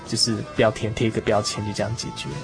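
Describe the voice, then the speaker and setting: A man's voice: fairly low pitch, steady tone, very fast, vocal fry, loud music behind the voice. A man hosting a conversational discussion of faith in a reflective, explanatory way. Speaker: radio talk show host.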